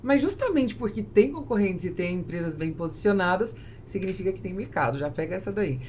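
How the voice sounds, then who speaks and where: A woman's voice: mid-range voice, expressive, fairly quick, a little mellow. A woman with a Brazilian accent hosting a conversational business interview. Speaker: podcast host. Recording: clear background noise, room ambience.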